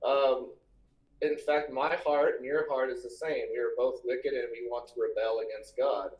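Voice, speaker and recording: A man's voice: medium pitch, flat delivery, moderate pace, a little mellow. A man arguing his faith as a guest in a casual religious debate, earnest and preachy. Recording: roomy, echoing voice.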